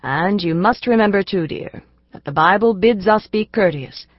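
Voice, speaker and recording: A woman voicing a grave, gentle father who reproves his daughter in a soft, measured way. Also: medium pitch, expressive, no echo, lo-fi sound, close to an everyday voice. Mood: gently moralizing.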